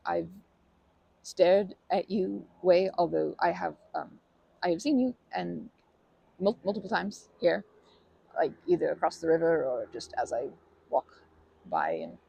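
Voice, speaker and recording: A timid, kind stranger played by a performer for an ASMR roleplay. The voice is husky and breathy, heavily creaky, and sits at a middling pitch. It stumbles over words and apologizes anxiously, wary of intruding. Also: big pitch swings, dark tone, dry recording, full character voice, low-quality recording. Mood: shy, awkward. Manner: halting, stammering, over-apologetic, nervous laughter. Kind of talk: ASMR roleplay, improvised-sounding monologue to listener.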